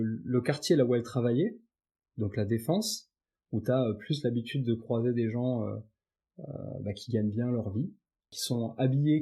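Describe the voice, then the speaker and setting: Homme posé au timbre sombre. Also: male voice, mid-range voice, warm tone, husky. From Paris suburbs, France. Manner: chatty, many fillers and restarts. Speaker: podcast guest. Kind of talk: casual interview chat.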